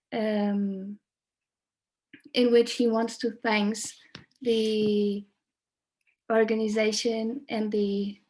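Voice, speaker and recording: A woman moderating an online lecture and translating, measured and slightly hesitant. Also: on the high side, darkish tone, poor audio. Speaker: interpreter and moderator.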